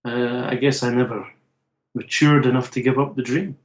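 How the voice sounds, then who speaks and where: A man's voice: medium pitch, steady tone, normal speed, lo-fi sound. A middle-aged man from Scotland with a Scottish accent, a comic book writer chatting as an interview guest in a wry, joking way. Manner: chatty, wry storyteller with a dry joking humor. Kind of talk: casual interview chat.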